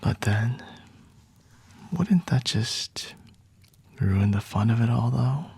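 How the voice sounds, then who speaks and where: A man playing a smug, flirty vampire in a whispered ASMR roleplay, teasing and condescending with a breathy, husky delivery. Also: medium pitch, expressive, takes it slowly, darkish tone, exaggerated voice. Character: flirty, smug male vampire toying with a captured hunter.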